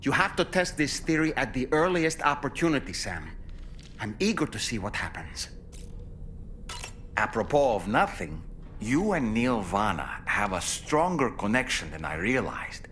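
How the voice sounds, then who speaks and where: Eloquent, animated, refined male companion. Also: mid-range voice, a little slow, a little hiss or hum. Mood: eager, intrigued, then earnest, measured. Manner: formal, wordy, eloquent. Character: refined, courteous male companion, earnest and inquisitive. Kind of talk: scripted game dialogue.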